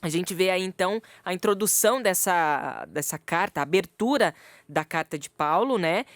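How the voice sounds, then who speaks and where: A woman's voice: fairly low pitch, lively intonation, moderate pace, no room sound. Chatty woman co-hosting a podcast. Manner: chatty, laughs often. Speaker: podcast co-host. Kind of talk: casual Bible study chat. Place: radio studio.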